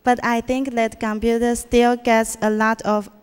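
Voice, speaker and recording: Halting, slow Taiwanese teen girl. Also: fairly high pitch, flat delivery, smooth. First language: Mandarin Chinese. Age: teens. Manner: hesitant, halting, with restarts. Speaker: student speech contestant. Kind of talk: prepared contest speech. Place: school auditorium. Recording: reverberant hall.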